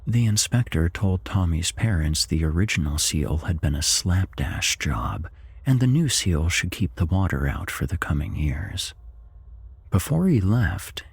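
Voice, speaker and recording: A man narrating a horror story in a hushed, half-whispered ASMR style, measured and deliberate, close on the mic. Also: low voice, vocal fry, slightly rough, no echo.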